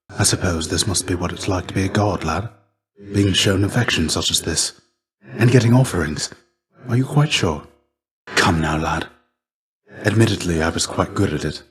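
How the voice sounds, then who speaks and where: A middle-aged man acting a video game role, a gentle, weary warrior shade and mentor, speaking in a deep, soft-edged voice with measured, courteous, old-fashioned formality. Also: close to an everyday voice.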